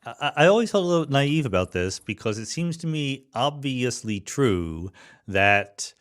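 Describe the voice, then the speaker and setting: A man's voice: mid-range voice, big pitch swings, unhurried. A man hosting a podcast interview, talking casually and plainly as he thinks a point through. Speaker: podcast host.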